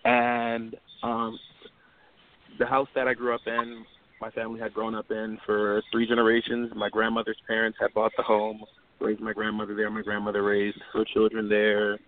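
A man's voice: lowish voice, monotone, moderate pace. A man, calling in and talking politely with many restarts and apologies. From Louisiana, USA. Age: 20s. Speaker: caller. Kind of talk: casual call-in chat. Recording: tinny phone line with faint noise.

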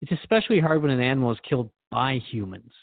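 A man's voice: medium pitch, lively intonation, fast talker, no echo, close to an everyday voice. Male performer, earnest radio host, reflective, conversational interview style, dry room, narrow tinny band. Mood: earnest, troubled. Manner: reflective, conversational, hesitant at times.

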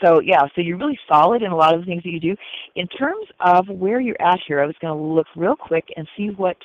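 A woman's voice: fairly low pitch, animated, brisk, no echo. A woman co-hosting a call-in radio show as a card reader, upbeat and thinking aloud as she works. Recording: dull lo-fi radio sound, dry.

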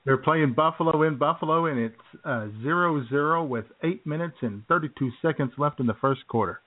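A man's voice: fairly high pitch, brisk. A man hosting a radio show in casual, conversational chat. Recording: narrow tinny phone-like sound.

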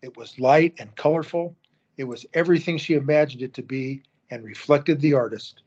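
Male narrator, steady storytelling read, muffled lo-fi sound. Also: medium pitch, moderate pace, husky. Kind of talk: scripted audio-drama narration. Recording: dull lo-fi recording.

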